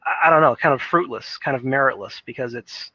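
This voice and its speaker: A man's voice: mid-range voice, brisk, sharp tone, dry recording. A man giving casual, opinionated video game commentary in a rambling, hesitant way, thinking aloud on a dull lo-fi microphone.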